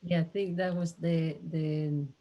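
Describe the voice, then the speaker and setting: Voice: mid-range voice, level tone, unhurried, highs cut off. A translator talking casually in a Q&A discussion.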